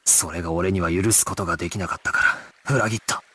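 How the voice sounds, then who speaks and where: An actor playing a resolute, stoic young gangster squad leader in a Japanese action video game, speaking with solemn, commanding authority. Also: male voice, low-pitched, steady tone, fast talker, bright, forceful tone, slightly rough, exaggerated voice. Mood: grave, resolute. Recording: music behind the voice.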